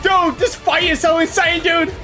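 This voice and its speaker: A man reacting to a boss fight as he plays, exclaiming and shouting constantly in excitement. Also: extremely high pitch, normal speed, music in the background. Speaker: gaming channel host. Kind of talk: live gameplay reaction.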